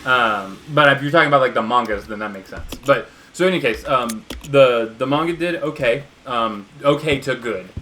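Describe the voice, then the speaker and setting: A man chats casually over a co-op video game stream, winding through long explanations full of ums and restarts. His voice sits at a middle pitch, with faint noise behind it. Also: normal speed, hardly any pauses, bright, forceful tone. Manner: rambling, many fillers and restarts. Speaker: gaming co-streamer. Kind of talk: casual chat while playing.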